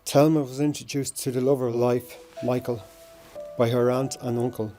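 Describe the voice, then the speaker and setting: A man in his sixties with a Dublin accent delivers a memorial tribute. His voice is mellow and keeps to a narrow, even range as he reminisces in a measured way. Music plays underneath. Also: medium pitch. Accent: Dublin Irish. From Dublin, Ireland. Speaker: bereaved brother giving a tribute. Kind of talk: personal memorial tribute, mostly read.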